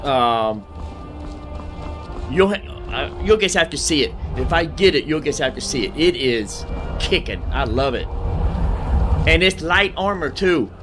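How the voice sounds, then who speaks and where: A man's voice: on the high side, lively intonation, measured pace, pauses often, over music. A man giving casual commentary on his own video game playthrough, thinking aloud in a rambling way and repeating himself. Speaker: gamer narrating own playthrough.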